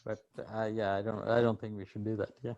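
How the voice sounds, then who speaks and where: A man's voice: fairly low pitch, level tone, fairly quick, a little mellow. Easygoing male lecturer on a muffled call. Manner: easygoing, chuckles often, repeats "yeah". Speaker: university lecturer. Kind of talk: casual chat with students before an online lecture. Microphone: video call. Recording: muffled video call audio.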